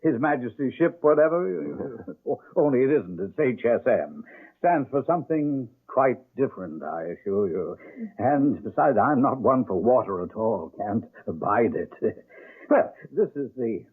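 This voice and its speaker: A genteel English landlord with a husky, swooping voice, fussily polite and faintly sinister. He rambles and corrects himself in old radio mystery style, through a narrow, tinny broadcast sound. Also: medium pitch, expressive, measured pace. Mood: affable, fussy. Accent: British. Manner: fussy, rambling, self-correcting, chuckling politeness. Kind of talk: radio mystery drama acting.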